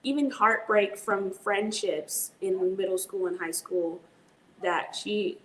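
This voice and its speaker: A woman's voice: medium pitch, steady tone, takes it slowly. A young adult woman guest who talks animatedly and laughs often, playing up the voices she quotes. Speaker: guest, host's daughter. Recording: roomy with faint noise.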